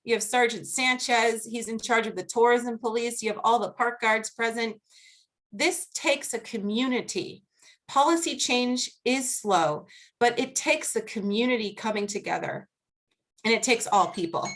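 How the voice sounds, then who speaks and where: A woman with a Boston accent speaking as a guest researcher on a video-call panel, earnest and measured, laughing easily during questions. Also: a little high, a bit bright. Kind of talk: academic panel talk and Q&A.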